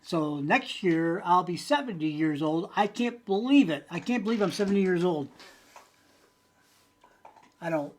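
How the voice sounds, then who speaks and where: A man's voice: high-pitched, expressive, unhurried, long pauses, slight background noise. A man in his late sixties chatting casually and unscripted to his viewers as a channel host, rambling in a folksy way with hesitant phrasing. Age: late 60s.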